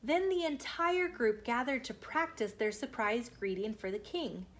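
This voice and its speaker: A woman hosting storytime, reading a children's book aloud in a measured, explanatory way. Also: on the high side, expressive. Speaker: storytime host.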